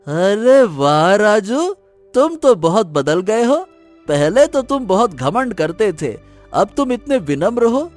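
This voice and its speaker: A woman's voice: mid-range voice, very animated, takes it slowly, darkish tone, soft background music. A woman voicing a friendly, eager young village boy in a cartoon moral story, full of admiration. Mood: amazed, curious.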